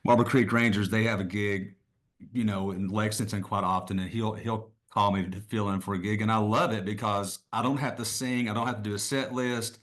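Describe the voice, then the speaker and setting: A man's voice: lowish voice, steady tone, brisk, a bit bright. A middle-aged man, a guest musician, chatting casually and rambling a little, laughing often. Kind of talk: casual conversation.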